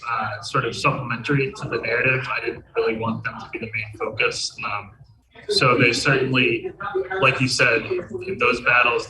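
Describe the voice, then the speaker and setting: A man answering interview questions as a game developer, unscripted and hesitant. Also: mid-range voice. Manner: hesitant, halting delivery. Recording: distant mic, crowd chatter behind.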